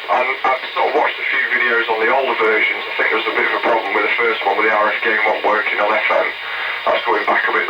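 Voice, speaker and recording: Very noisy, muffled CB radio reception carries a man with an English accent and a low-pitched voice. He chats casually as a fellow hobbyist, his voice sounding distant through the set. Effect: CB radio transmission. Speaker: CB radio hobbyist helping with a test. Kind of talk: casual two-way radio chat.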